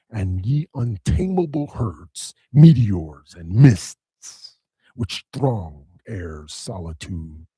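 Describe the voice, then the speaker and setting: Guy doing a huge ancient power, super low and husky, crawling slow, dark dry sound. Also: no room sound, full character voice. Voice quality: deep, husky, slow and solemn. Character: Demogorgon, vast primal male power. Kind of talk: dramatic verse reading.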